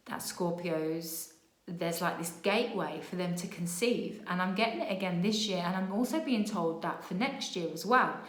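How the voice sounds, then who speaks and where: A woman's voice: lowish voice, echoey room. A woman who is losing her voice, chatting casually about spirit babies, rambling with fillers, restarts and "yeah?" tags. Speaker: spiritual channeler. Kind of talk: casual spiritual vlog talk.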